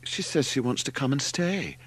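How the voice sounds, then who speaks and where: A man's voice: mid-range voice, very animated, normal speed, a bit bright, slightly rough, voice pushed up. A man voicing a flustered middle-aged English mother in an audiobook, hesitant and fussing. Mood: anxious, flustered.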